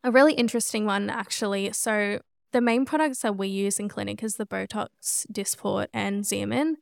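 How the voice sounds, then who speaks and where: Smooth-voiced woman podcast host. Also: medium pitch, steady tone, normal speed, no echo, studio quality. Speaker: podcast co-host and cosmetic injector. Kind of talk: casual explanatory podcast chat.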